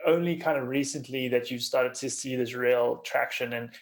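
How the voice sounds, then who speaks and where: Gravelly-edged man hosting a casual interview. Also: medium pitch, fairly even intonation, normal speed. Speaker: podcast host.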